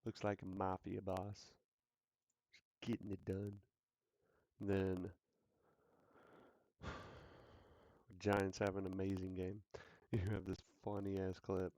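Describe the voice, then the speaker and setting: A man's voice: low-pitched, completely flat delivery, slow pace, pauses often, darkish tone, no echo. A man hosting a casual sports talk show, joking and hyped, repeating his lines for effect. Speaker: sports podcast host.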